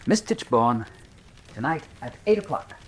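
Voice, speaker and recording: An actor playing an earnest, deferential, slightly flustered police inspector in a radio drama. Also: male voice, medium pitch, big pitch swings, a little slow, slight background noise. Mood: eager. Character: eager, polite, somewhat flustered male police inspector. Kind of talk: full-cast radio drama acting.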